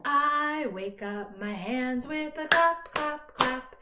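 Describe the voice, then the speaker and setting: A woman's voice: high voice, lively intonation, very noisy. A middle-aged woman leading a toddler storytime with singsong action rhymes and exaggerated, encouraging instructions, moving as she goes. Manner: exaggerated, slow, encouraging delivery with active movement. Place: small bright indoor room at home. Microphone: device camera a few feet away. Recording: roomy echo, distant microphone.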